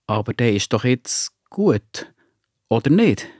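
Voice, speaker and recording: A man playing a loving, patient father in a children's audio drama, gently reassuring, with a Swiss German accent. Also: mid-range voice, big pitch swings, slow, no echo, clean studio sound. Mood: concerned, gentle.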